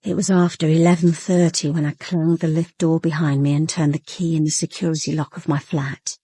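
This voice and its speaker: A woman's voice: low-pitched, level tone, a little fast, mellow, husky, no echo. An actress with an English accent playing a young police inspector who narrates her private life in an intimate, weary, guarded way for a radio drama. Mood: reflective, guarded.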